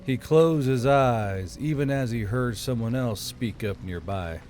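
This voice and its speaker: A man's voice: medium pitch, measured pace, a little mellow, slight background noise. A man reading scripted fiction as an audiobook narrator. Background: background music.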